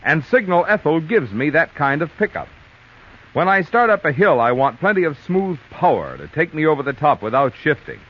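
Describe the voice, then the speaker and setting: A man's voice: on the high side, sing-song, normal speed. A man delivering a folksy testimonial as an announcer in a 1940s radio advertisement. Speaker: commercial announcer. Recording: muffled, faintly noisy old radio recording.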